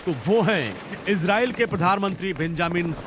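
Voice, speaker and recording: A man's voice: high voice, moderate pace, background noise. A man narrating a news feature in a dramatic, emphatic scripted style. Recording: voice-over with loud music bed.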